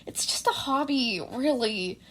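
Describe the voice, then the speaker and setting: A woman's voice: a little high, expressive, a little slow, fairly bright tone, low-quality recording. A woman voicing a shy, flustered teenage boy who rambles analytically and apologetically in a fan fiction reading.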